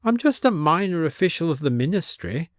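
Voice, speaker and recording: A man voicing a stiff, plaintive Victorian gentleman with pompous, old-fashioned formal phrasing, in a storybook. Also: fairly high pitch, sing-song, fairly quick, no echo, studio quality, voice pushed up.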